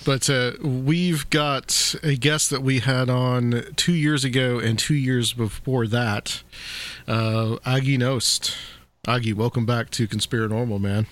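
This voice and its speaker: A man hosting a casual interview podcast, talking haltingly with many fillers and restarts. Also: medium pitch, steady tone, fairly bright tone. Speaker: podcast host.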